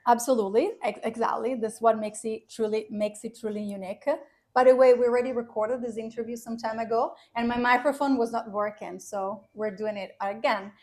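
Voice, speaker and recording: A woman's voice: a little high, steady tone, brisk, a little mellow. A woman with an Italian accent, a native Italian speaker, hosting a podcast interview in a chatty, loose way with frequent restarts. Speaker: podcast host. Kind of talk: casual interview chat.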